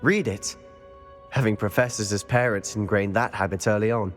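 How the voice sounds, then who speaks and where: A man's voice: lowish voice, steady tone, normal speed, close to an everyday voice. A man playing a bold, bookish, earnest teenage wizard schoolboy in a video game. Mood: eager, awed. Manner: eager, earnest. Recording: echoing hall, music underneath.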